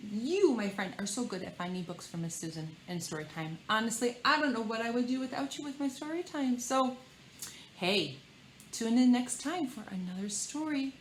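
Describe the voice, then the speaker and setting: A woman's voice: a little high, slight background noise, low-quality recording. A woman hosting library storytime, reading a picture book expressively for children and adding chatty asides. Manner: animated, exclaiming, dramatic emphasis for children.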